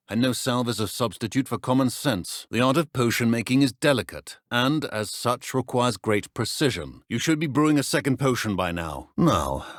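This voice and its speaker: An actor playing a stern, guarded potions professor in a video game, speaking formally and dryly. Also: male voice, mid-range voice, no room sound. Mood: measured, reserved. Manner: formal, dry, measured. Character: stern, guarded male potions professor and battle-worn wizard. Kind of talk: scripted video game character dialogue.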